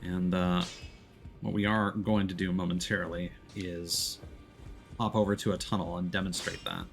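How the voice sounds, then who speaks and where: Guy streaming a game, lowish voice, casually explaining stuff as he thinks, faint music behind. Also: little pitch movement, moderate pace. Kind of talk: casual live gameplay commentary.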